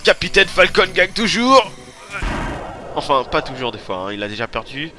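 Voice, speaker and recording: A man with a stuffy cold giving excitable, swearing commentary over his own racing gameplay. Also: on the high side, moderate pace. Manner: excitable, swearing, yelps and laughs while playing. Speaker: let's play host. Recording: game music over voice. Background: loud game music.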